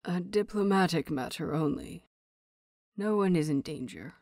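A woman's voice: lowish voice, animated, a little slow, a little mellow, no room sound, clean studio sound. A woman voicing a stern old male master in a story, terse and reluctant, as if each word is forced out. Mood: grudging.

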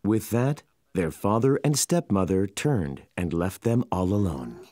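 A narrator telling a children's fairy tale in an expressive, scripted storytelling style. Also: sits low, measured pace, no echo, studio quality.

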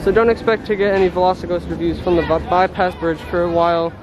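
A man's voice: high-pitched, fairly even intonation, fast talker, lo-fi sound. Young man vlogging in a noisy park. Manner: chatty, explains as he walks. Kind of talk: casual walking vlog narration. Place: outdoor theme park. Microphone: handheld camera. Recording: loud crowd and outdoor noise. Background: crowd and park noise.

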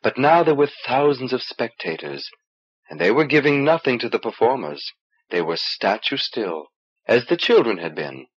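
Guy reading a fantasy audiobook, animated and a bit rough voiced, muffled old tape sound. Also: medium pitch. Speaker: audiobook reader. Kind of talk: scripted narration of a fantasy novel. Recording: dull old cassette audiobook transfer.

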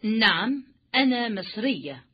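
A woman's voice: a little high, sing-song, unhurried, breathy edge. A woman reading her part of a scripted textbook dialogue. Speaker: textbook audio speaker. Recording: dull, muffled lo-fi audio.

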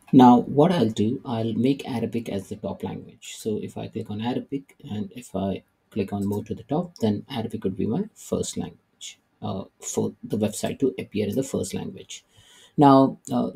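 A middle-aged man giving a screen-recorded software tutorial, hesitant, with many "uh" and "okay" fillers. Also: on the low side, vocal fry, slightly rough. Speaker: tech tutorial presenter.

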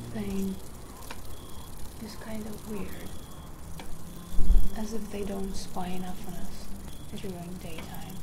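Woman acting as young female student, gentle schemer, ASMR roleplay, soft and whispery, unhurried, medium pitch, noticeable ambient noise. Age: young adult. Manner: slow, gentle, hushed delivery. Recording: clear ambient noise behind voice.

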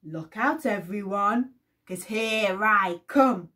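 A woman voicing a big, hungry, greedy giant with a booming, roaring delivery. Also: slow pace, pushed and bright.

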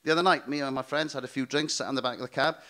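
A man in his forties with a South Wales accent, from Cardiff, doing a brisk, cheeky stand-up set on a small theatre stage into a stand mic. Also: mid-range voice. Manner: brisk, cheeky, animated with big arm gestures. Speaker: stand-up comedian. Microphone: stand mic close at mouth.

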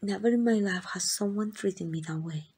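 A woman with a Mexican accent playing a tender, devoted queen in a romantic ASMR roleplay, speaking in gentle, intimate, breathy whispers. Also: mid-range voice, moderate pace. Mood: tender, wistful. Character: tender, devoted queen in secret love with a commoner.

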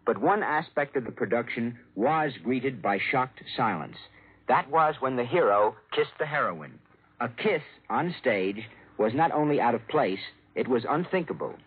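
A man's voice: mid-range voice, big pitch swings, a little slow, slightly rough. A man telling a scripted human-interest news report in 1940s radio style, on a tinny old recording. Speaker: foreign news correspondent.

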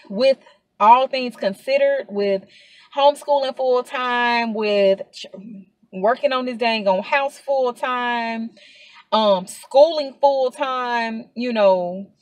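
A woman's voice: a little high, dry recording. A woman in her thirties chatting casually to camera from inside a parked car, rambling with asides and filler words. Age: 30s. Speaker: vlogger.

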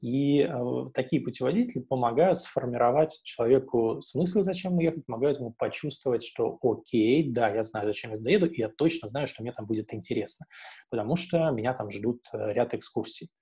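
A man's voice: medium pitch, fairly quick, mellow, slightly rough. A man in his thirties giving an explanatory online presentation as a webinar presenter, speaking through an earphone headset on a video call. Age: 30s. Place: small indoor room, on a video call. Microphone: wired earphone headset on a video call.

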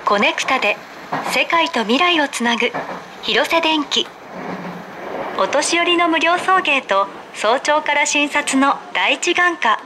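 A woman giving polite, measured, formal scripted announcements as a recorded announcer inside a subway car. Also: animated. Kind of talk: scripted public transit announcement. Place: played over speakers inside a subway car. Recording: noisy train interior, constant rumble.

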